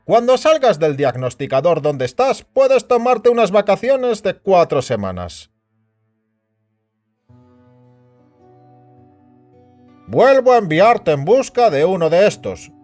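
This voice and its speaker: Man acting a high-voiced, scheming senior boss. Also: big pitch swings, normal speed, few pauses, darkish tone. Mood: bossy. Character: Rachen, scheming, worried senior boss, melancholy and bossy. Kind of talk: scripted Spanish audio drama acting. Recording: music bed behind the voice.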